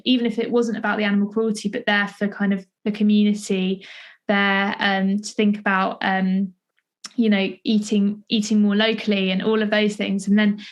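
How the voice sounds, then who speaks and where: Young British woman interviewing, thinking aloud. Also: medium pitch. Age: young adult. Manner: tentative, discursive, thinking aloud. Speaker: podcast interviewer and playwright. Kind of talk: conversational interview.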